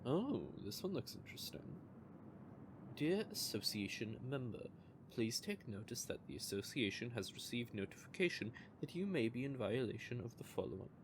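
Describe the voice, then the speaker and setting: A man's voice: mid-range voice, very animated, normal speed, noisy background. A man playing a smug, teasing male vampire who is playfully menacing, in an audio roleplay.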